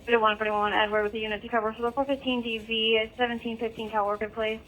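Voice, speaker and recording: A woman's voice: fairly high pitch, little pitch movement, fast, fairly bright tone. A woman working as a police dispatcher, reading out calls in a clipped, matter-of-fact way over police radio. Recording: noisy police scanner radio feed.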